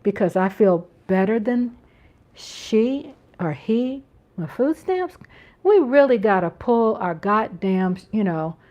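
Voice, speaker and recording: A woman's voice: medium pitch, very animated, slow pace, warm tone, muffled recording. An American woman hosting a casual live-stream chat from home, talking in an earnest, rambling way with frequent restarts. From United States. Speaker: channel host.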